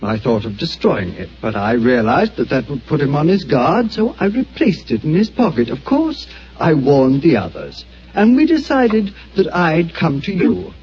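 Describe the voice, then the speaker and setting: A man's voice: a little high, sing-song, moderate pace, slightly rough, full character voice. An actor playing an anxious, businesslike male client with an urgent, worried manner in an old radio drama. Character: anxious, brusque male insurance broker client. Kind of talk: 1940s radio acting. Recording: dull old radio broadcast with faint hiss.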